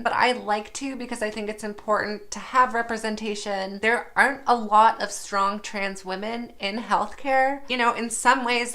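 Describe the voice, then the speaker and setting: Young woman vlogging about nursing school, a bit high voice, little raspy sometimes, open and chatty. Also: pushed and bright. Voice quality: slightly raspy at times. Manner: candid, reflective, laughs at herself. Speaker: nursing student. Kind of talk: personal vlog talk to camera.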